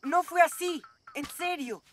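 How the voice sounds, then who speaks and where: A woman's voice: extremely high pitch, measured pace, slight background noise. A woman with a Latin American Spanish accent voicing an earnest, defensive young armadillo superhero in a cartoon dub. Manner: emphatic, protesting.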